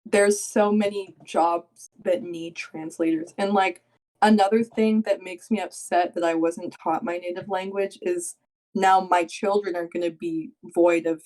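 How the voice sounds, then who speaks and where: A woman's voice: on the low side, fairly even intonation, unhurried, a little mellow, low-quality recording. A young woman co-hosting a podcast, chatting casually and rambling, with "like" in nearly every phrase. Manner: rambling, heavy use of "like". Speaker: podcast co-host. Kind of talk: casual chat.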